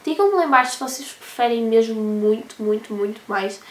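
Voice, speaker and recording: Hoarse teenage girl with a Portuguese accent. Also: on the high side, animated, moderate pace, roomy sound. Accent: European Portuguese. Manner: chatty, talks with her hands. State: hoarse from a cold. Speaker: vlogger. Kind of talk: casual vlog talk to camera. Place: bedroom, indoors.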